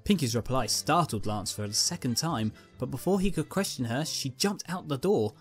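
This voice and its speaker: A woman's voice: a bass voice, lively intonation, moderate pace, soft background music. A woman narrating a scripted fan fiction story as its reader.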